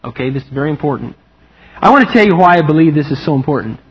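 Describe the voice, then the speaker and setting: A man preaching a lively, humorous sermon, animated and playful, acting out quotes in a higher voice. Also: racing, husky. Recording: muffled lo-fi recording.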